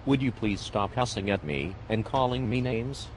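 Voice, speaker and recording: A man voicing a tired, sarcastic male fire medic in an amateur animated comedy, speaking dryly and with exasperation. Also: on the low side, moderate pace, slight background noise, close to an everyday voice.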